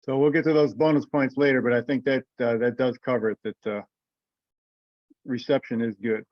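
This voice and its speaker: A man leads an informal teleconference in a medium-pitched voice. The sound is dry and close, slightly muffled like conference call audio. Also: fairly even intonation, normal speed, no echo. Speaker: meeting leader. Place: remote teleconference.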